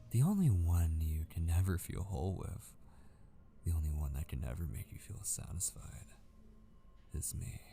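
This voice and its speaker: A man playing an obsessive, possessive kidnapper in an intimate ASMR roleplay, gleefully menacing and patient, slow and teasing, drifting toward whispers. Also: warm tone, full character voice. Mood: possessive, seductive. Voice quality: low, creaky.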